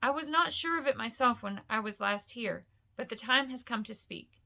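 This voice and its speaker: A woman voicing a grave, commanding old male wizard in a bedtime storybook, speaking slowly and with portentous emphasis. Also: fairly high pitch, animated, creaky, bright, forceful tone, heavily acted. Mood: stern.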